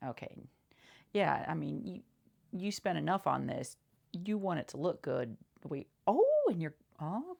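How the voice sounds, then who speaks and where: A woman talks softly in a low register with a noticeable fry in her voice. She plays a seamstress in an ASMR roleplay, casual and halting, murmuring short reassurances and repeating them as she goes. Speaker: ASMR creator playing a seamstress. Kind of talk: soft-spoken ASMR roleplay.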